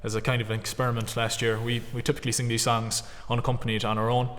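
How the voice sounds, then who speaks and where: A man's voice: medium pitch, little pitch movement, very fast, a bit bright, long reverb tail. A young Irish-speaking man from Connemara with a Connemara Irish accent, talking earnestly from a stage about his music, with ums and restarts. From Conamara, Ireland. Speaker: traditional musician. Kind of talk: on-stage talk introducing songs.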